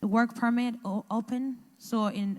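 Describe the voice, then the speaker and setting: Woman interpreting haltingly in a hall. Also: a little high, fairly even intonation. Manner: halting, restarts while translating. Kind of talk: consecutive interpretation into English. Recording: echoey hall.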